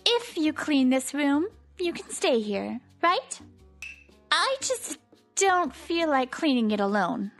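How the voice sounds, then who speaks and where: A woman's voice: high voice, sing-song, takes it slowly, exaggerated voice. A woman voicing a bossy but caring teenage delinquent girl in a manga comic dub, casual, blunt and teasing. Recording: background music under the voice.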